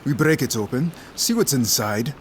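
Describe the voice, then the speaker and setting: An actor playing a sly, mocking pirate captain in an audio drama, theatrical and condescending. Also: male voice, mid-range voice, expressive, moderate pace, a little hiss or hum, natural voice.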